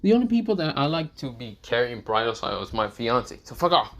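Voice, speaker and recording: A man's voice: medium pitch, sing-song, brisk. A man voicing a cocky, foul-mouthed young man who talks bluntly and with swagger.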